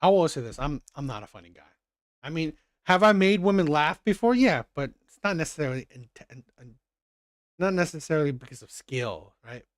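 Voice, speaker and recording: Reaction host: man, halting delivery, fairly high voice, dry sound. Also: sing-song, dry recording. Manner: halting, hesitant, trailing off. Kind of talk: casual commentary over a clip.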